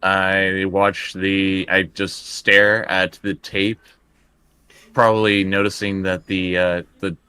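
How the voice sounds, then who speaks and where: A man playing a tabletop roleplaying game, improvising his character's actions in halting, casual talk. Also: low voice, little pitch movement, bright, forceful tone, clear, resonant tone, dry recording, poor audio.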